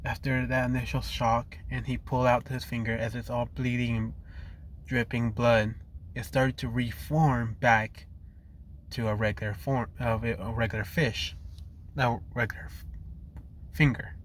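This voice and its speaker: A man's voice: mid-range voice, little pitch movement, slight background noise. A man narrating a fan story off the cuff while losing his voice, stopping and correcting himself often. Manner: halting, frequent restarts and self-corrections.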